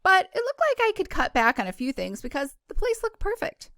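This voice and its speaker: A woman's voice: very high-pitched, wildly swooping pitch, brisk, a bit bright, dry recording, clean studio sound. A woman reading a first-person novel aloud in a casual, informal way, sometimes stumbling and correcting herself. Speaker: book club host reading a novel aloud.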